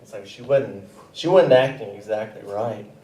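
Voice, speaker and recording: A young man from Arkansas with a Southern accent, doing a rambling, deadpan stand-up set in a comedy theater. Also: on the low side, normal speed, a little hiss or hum. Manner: laid-back, rambling, deadpan with self-corrections. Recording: roomy theater mic from a distance.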